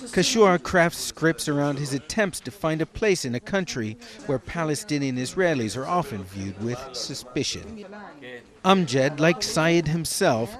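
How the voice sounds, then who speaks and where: A man narrating a news report as correspondent, with a measured, even broadcast delivery. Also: a little high.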